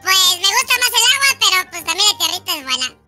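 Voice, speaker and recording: A performer voicing a timid, good-natured young sea-creature boy, hesitant and repeating phrases, in a pitched-up chipmunk voice. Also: sing-song, fast talker, full character voice. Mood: relieved, agreeable. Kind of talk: children's gaming roleplay. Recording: loud music over the voice.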